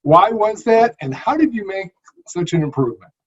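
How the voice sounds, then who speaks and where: A man's voice: high voice, big pitch swings, moderate pace, breathy edge. A man hosting an interview, asking engaged, friendly questions. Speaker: host. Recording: dull lo-fi audio.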